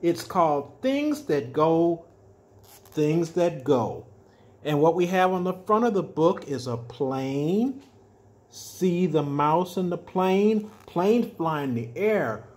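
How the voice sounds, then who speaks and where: An older man reading a children's picture book aloud as a storyteller, adding simple explanations with drawn-out emphatic words. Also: fairly high pitch, very animated, darkish tone. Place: small furnished room at home, indoors.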